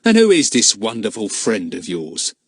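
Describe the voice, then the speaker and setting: A man voicing a menacing one-eyed male villain who talks roughly and mockingly, read in a storybook. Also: on the low side, huge pitch swings, normal speed, no echo, full character voice. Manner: rough, jeering. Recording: dry close mic.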